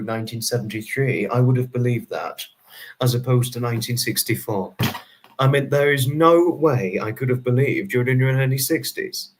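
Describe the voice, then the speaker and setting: A young man in his twenties hosting a casual livestream, chatting and answering viewer comments by name in a rambling, friendly way. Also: medium pitch. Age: 20s. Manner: rambling, restarts number sums aloud. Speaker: livestream host.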